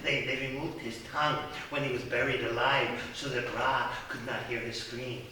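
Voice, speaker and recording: A man playing an effusive host of a monsters' dinner party, theatrical and halting, in a live comic radio play. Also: medium pitch, fairly even intonation, sharp tone, slight background noise, lightly acted. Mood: grandly welcoming. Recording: echoey theatre, far from mic.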